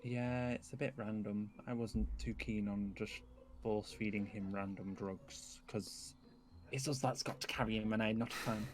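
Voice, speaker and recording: A man playing an evasive, dry-humoured survivor in a zombie game roleplay, speaking in a halting, understated way. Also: fairly low pitch, steady tone, close to an everyday voice. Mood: explanatory. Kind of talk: improvised roleplay dialogue.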